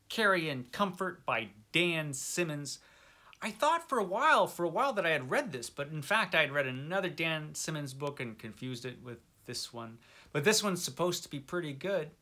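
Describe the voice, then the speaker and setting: Male book vlogger, somewhat higher-pitched and bright, conversational, wry, self-deprecating. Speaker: host of a book review video channel. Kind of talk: casual chat to camera about books.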